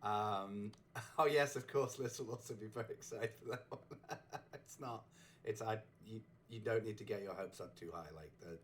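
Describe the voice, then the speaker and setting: A man with a fairly low voice hosts a relaxed recap chat. He rambles and chuckles, often breaking off to restart a sentence. His voice is just getting over a sore throat. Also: normal speed, a little hiss or hum. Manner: rambling, chuckling, self-interrupting. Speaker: host. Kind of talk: casual chat recapping a game community.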